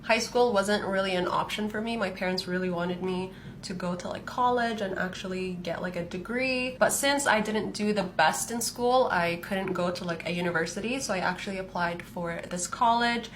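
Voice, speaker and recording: A woman's voice: mid-range voice, moderate pace, slight background noise. Chatty young woman vlogger. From Palestinian, born in Saudi Arabia, raised in Canada. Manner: chatty, frequent "like" fillers. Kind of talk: casual get-ready-with-me storytelling.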